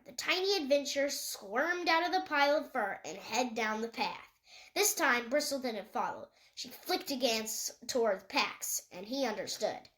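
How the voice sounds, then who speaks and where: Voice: high-pitched, pushed and bright. A young reader, a child or young teen, narrating a story read aloud from a book. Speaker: audiobook reader.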